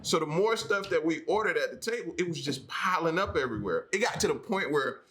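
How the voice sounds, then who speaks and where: A man's voice: a little high, lively intonation, quick pace, a bit bright, slight background noise. An American man co-hosting a podcast, chatting casually and telling stories in a slangy, joking way. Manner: colloquial, slangy, joking storytelling. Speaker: podcast co-host. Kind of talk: casual conversational chat.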